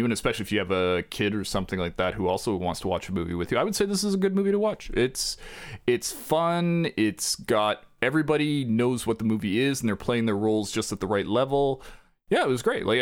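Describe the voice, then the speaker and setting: A man co-hosting a podcast and giving a casual movie review. His voice rises and falls widely, and his talk is chatty, with frequent self-corrections. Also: lowish voice. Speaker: podcast co-host.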